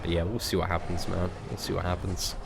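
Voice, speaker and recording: A man's voice: low-pitched, little pitch movement, fairly quick, gravelly, background noise. A British man hosting a gaming livestream, chatting casually with friendly banter and lots of filler words. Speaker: livestream host playing a mobile game. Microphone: desk microphone.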